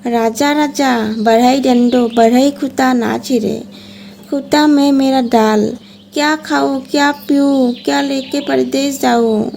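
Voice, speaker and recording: A woman's voice: sits high, fairly even intonation, slow pace, creaky, darkish tone, slight background noise, exaggerated voice. A woman voicing a small hungry female bird in a children's cartoon story, pleading in chanted, repetitive rhymes. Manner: chanting, sing-song repeated pleas.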